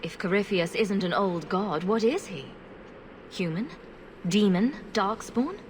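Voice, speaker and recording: An actress playing a calm, compassionate, questioning heroine in a fantasy video game. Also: female voice, mid-range voice, unhurried, a little hiss or hum, lightly acted. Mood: searching. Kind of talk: video game cutscene acting.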